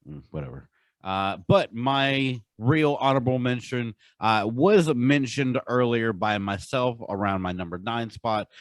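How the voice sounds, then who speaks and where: A man's voice: medium pitch, measured pace, dry recording. A man co-hosting a podcast in casual chat, informal and slangy with strong opinions. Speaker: podcast co-host.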